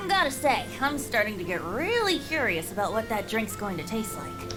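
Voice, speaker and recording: A woman's voice: mid-range voice, very animated, moderate pace, close to an everyday voice. A woman voicing an easygoing, playful young male bard in a video game, with a light, boyish, teasing voice. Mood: amused. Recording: game music behind the voice.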